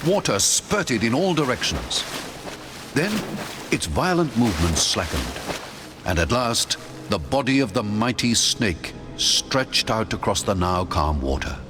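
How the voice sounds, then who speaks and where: Lively, theatrical male audiobook narrator. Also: on the low side, unhurried, music in the background. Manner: theatrical, storytelling. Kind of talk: dramatic scripted audiobook narration.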